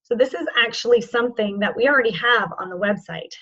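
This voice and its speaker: A woman training sales representatives in an online session, informal and encouraging, with a voice that is going hoarse. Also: fairly high pitch, quick pace, bright, forceful tone, lo-fi sound. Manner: informal and encouraging, checks in with "okay?". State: losing her voice, hoarse.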